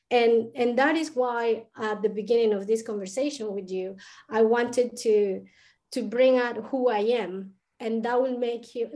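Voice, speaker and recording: A woman's voice: on the high side, darkish tone. A woman from Colombia whose first language is Spanish, talking as a podcast guest in a thoughtful way, with many restarts and fillers. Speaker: podcast guest, international educator. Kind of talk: casual interview talk.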